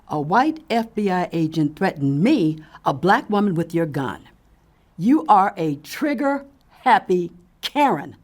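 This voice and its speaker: An actress playing a defiant, sardonic neighbor in an audio drama, speaking with pointed, indignant emphasis. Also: female voice, mid-range voice, darkish tone. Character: defiant, sardonic woman neighbor, standing her ground. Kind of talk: audio fiction drama acting.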